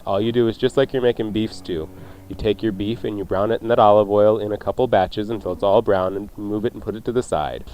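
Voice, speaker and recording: A man's voice: lowish voice, steady tone, quick pace, darkish tone, rough recording. A man hosting a radio cooking show, chatting casually and playfully as he jokes through recipes. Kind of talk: casual, jokey recipe banter.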